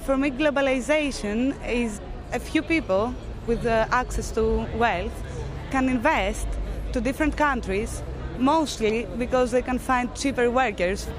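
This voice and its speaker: Halting woman protester from Greece, music behind. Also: a little high, crowd noise. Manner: simple, halting phrasing. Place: outdoors at a city protest camp.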